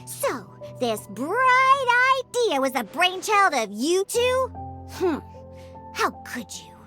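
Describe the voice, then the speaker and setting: High, bratty, indignant little girl. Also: sing-song, takes it slowly, bright, forceful tone, soft background music, heavily acted. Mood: indignant, scolding. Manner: scolding, dramatic. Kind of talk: video game character acting.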